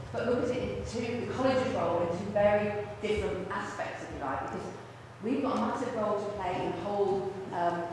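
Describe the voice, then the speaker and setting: A woman, a college vice principal giving a brisk, businesslike presentation to a room without a microphone. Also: medium pitch. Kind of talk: presentation to a luncheon audience. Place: large echoey function room. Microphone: far room microphone. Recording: echoey hall from far off, faint noise.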